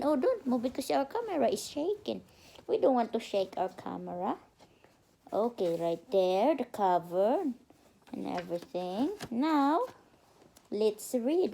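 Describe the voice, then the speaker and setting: Slow-speaking, higher-voiced mother reading aloud. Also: sing-song, creaky, mellow, a little hiss or hum. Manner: instructive, prompting with questions. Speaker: mother reading to her toddler. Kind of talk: bedtime story read-aloud with chat to a child. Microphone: phone camera.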